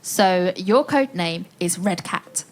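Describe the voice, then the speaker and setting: A woman playing an adventurous storyteller in an audio play for children, addressing listeners directly with dramatic pauses. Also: on the low side, expressive. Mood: playful, guiding.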